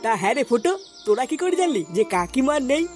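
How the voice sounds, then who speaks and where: Woman voicing an earnest, high-pitched cartoon lad. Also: big pitch swings, fast, a little mellow. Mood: concerned. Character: young male cartoon friend, earnest and concerned. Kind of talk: comic cartoon dialogue. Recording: faint music behind the voice.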